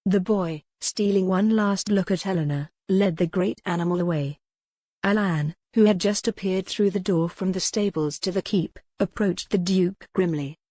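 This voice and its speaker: A woman's voice: on the low side, moderate pace, dark tone, dry recording. A woman reading scripted dramatic fiction as an audiobook narrator.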